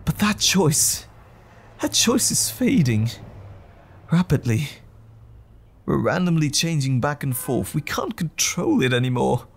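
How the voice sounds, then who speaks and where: A man playing a troubled, apologetic gentleman scientist in an audio roleplay drama, speaking in a formal, halting, anxious way. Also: fairly high pitch, sing-song, creaky, breathy edge, heavily acted. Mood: anxious, strained.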